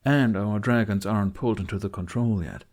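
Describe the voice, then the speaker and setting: A man's voice: lowish voice, fairly quick, a little mellow. A man voicing a cocky, dismissive teenage Viking boy with a casual, flippant manner in an audiobook.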